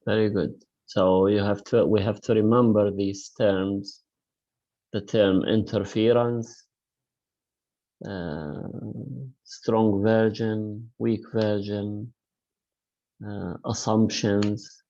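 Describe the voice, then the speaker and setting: A man's voice: fairly low pitch, steady tone, slow pace, mellow, dull audio. A man lecturing in an online class, hesitant and full of fillers as he encourages his students. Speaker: university lecturer.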